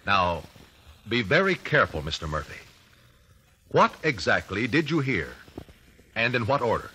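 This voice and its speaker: An actor playing a methodical, confident trial lawyer in an old-time radio drama, American, questioning formally and precisely. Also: male voice, lowish voice, very animated, normal speed, bright, forceful tone, exaggerated voice. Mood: insistent. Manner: precise, formal cross-examining. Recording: raspy vintage 1950s radio recording.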